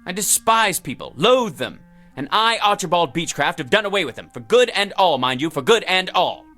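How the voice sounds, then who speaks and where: An actor playing a fussy, lonely, self-satisfied office worker who dislikes people, in theatrical audio drama style. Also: male voice, high-pitched, expressive, normal speed, bright, forceful tone, faint music behind. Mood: indignant, pompous. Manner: pompous, fussy, muttering to himself.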